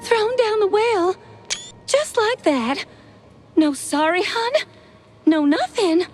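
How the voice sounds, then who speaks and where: Aggrieved jilted wife, tiny shrill pitch, slow delivery, cartoon game. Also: female voice, lively intonation, soft background music, full character voice.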